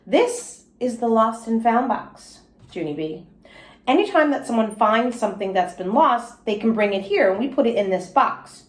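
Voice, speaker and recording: A woman's voice: on the high side, very animated, moderate pace. A woman voicing a kindly male school principal from a storybook, explaining patiently and reassuringly to a child. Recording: echoey room.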